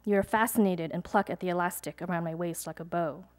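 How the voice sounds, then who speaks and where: A young adult woman, a poet, reading her poems aloud at a live event, measured and deliberate, with chatty, hesitant asides between pieces. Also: lowish voice, fairly even intonation. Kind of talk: poetry reading with casual asides to an audience.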